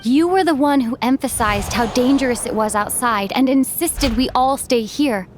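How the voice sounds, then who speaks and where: Woman as high-voiced, earnest young sleuth. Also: a little hiss or hum, lightly acted. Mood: accusing, deliberate. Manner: deliberate, reasoning step by step. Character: earnest young female sleuth, thoughtful and determined. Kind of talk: dramatic game dub acting.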